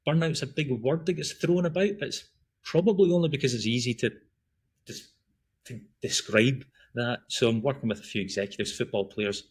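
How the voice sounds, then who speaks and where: A man's voice: mid-range voice, brisk, breathy edge. A middle-aged man from Glasgow with a Scottish accent, chatting as a podcast guest in a rambling, easygoing way. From Scotland. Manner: rambling, chatty, frequent restarts and ums. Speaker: guest, breath work and running coach. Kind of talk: casual podcast conversation.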